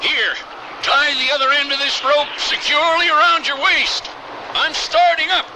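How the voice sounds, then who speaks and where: An actor playing a rugged, bitter and regretful desperado and mountain guide in a radio drama, with a gravelly voice and a brooding, halting delivery. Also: male voice, fairly even intonation, background noise. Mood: urgent calling. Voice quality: very deep, gravelly.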